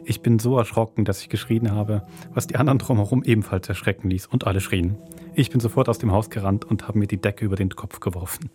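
Low-pitched Swiss German male host. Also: fast. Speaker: podcast host. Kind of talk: presenting credits, reading listener stories, chatting. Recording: loud music under the voice.

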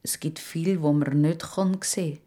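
A woman voicing a kindly, tired uncle in a children's audio story, with a rough voice, slow halting delivery and a Swiss German accent. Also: low voice, steady tone, dark tone. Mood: tender, thoughtful. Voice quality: rough, weary. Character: tired, kindly adult uncle, patient and humble.

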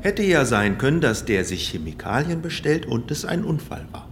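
An actor playing a matter-of-fact, slightly grumpy police investigator in a German radio crime drama, plain and businesslike. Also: male voice, medium pitch, very animated, normal speed, a little hiss or hum.

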